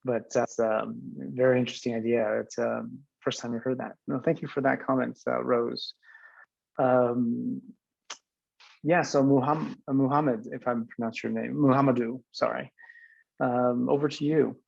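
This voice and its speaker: Gravelly man hosting a video panel. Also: mid-range voice, level tone, darkish tone, no room sound, highs cut off. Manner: halting, many fillers and repeats. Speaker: host. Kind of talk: moderating a virtual panel. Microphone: video call.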